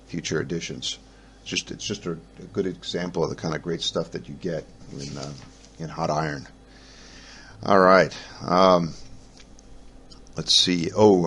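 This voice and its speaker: A man with a notably deep voice hosts a casual solo podcast. He rambles along haltingly, often pausing and restarting his sentences as he works through his notes. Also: fairly bright tone, slightly rough. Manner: halting, rambling delivery with frequent pauses and restarts. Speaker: podcast host. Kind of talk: casual solo podcast talk about ham radio.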